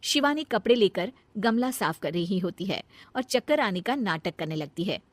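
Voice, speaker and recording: A woman's voice: mid-range voice, lively intonation, moderate pace, no room sound. A woman storyteller reading scripted narration of a comic family story.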